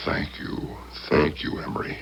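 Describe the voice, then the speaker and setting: An actor playing an anxious, polite husband in a 1970s radio drama, earnest and hesitant, on a noisy old broadcast recording. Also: male voice, measured pace, lightly acted. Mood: relieved.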